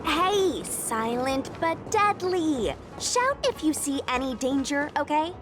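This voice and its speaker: High, perky young adventurer. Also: female voice, big pitch swings, takes it slowly, music in the background, exaggerated voice. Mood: playful, confident. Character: perky, teasing, bossy young woman adventurer. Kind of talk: video game voice acting.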